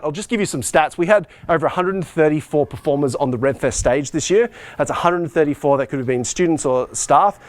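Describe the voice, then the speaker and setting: A man with a mid-range voice gives an informal behind-the-scenes tour. He explains equipment and workflow in a casual, practical, unscripted way, talking as he moves through the space. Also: mid-range voice. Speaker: school arts and IT department head giving a behind-the-scenes tour. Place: on the move through a school tech room and performance venue.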